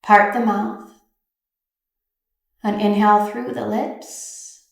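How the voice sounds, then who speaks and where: A woman leading a guided meditation, giving gentle, soothing instructions in an unhurried way with pauses. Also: medium pitch, flat delivery, gravelly. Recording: very echoey, reverberant room.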